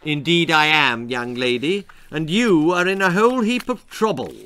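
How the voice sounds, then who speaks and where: A man's voice: a little high, moderate pace. Man putting on a growling, indignant slime monster. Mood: menacing. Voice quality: growling, slurping. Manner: indignant, loud, menacing. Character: gruff, aggrieved, bullying slimy green bogey monster. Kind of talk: children's comic horror storytelling.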